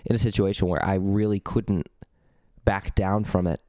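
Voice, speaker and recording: A young man hosting his own podcast, talking casually and a bit gravelly from a cold, with lots of ums and restarts as he rambles. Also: low-pitched, level tone, moderate pace, dark tone, dry recording, clean studio sound. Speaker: podcast host. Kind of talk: casual solo podcast talk. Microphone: studio vocal mic.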